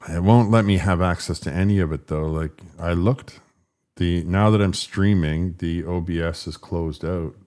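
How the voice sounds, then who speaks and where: A man with a deep, rumbling voice hosting a casual livestream, rambling and frustrated as he fixes his stream settings. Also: normal speed, darkish tone. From Canada. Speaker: political livestream host.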